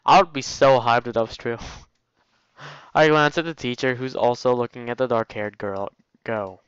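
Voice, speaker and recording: A man's voice: medium pitch, moderate pace, a bit bright, clear, resonant tone, dry recording, muffled recording. A man reading a visual novel aloud casually, dropping in jokey commentary as a let's play host. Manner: jokey asides while reading.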